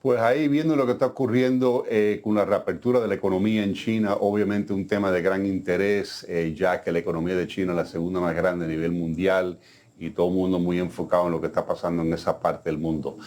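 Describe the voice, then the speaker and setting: Low-voiced male news host. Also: level tone, fast, darkish tone. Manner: conversational, many fillers and restarts. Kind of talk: hosting a business news interview.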